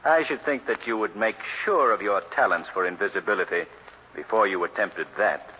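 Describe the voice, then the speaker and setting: An actor playing a composed, self-assured gentleman crime-fighter with formal diction in an old-time radio drama. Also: fairly low pitch, brisk, close to an everyday voice. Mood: cool, probing. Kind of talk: 1940s radio drama acting. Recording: muffled, hissy old radio recording.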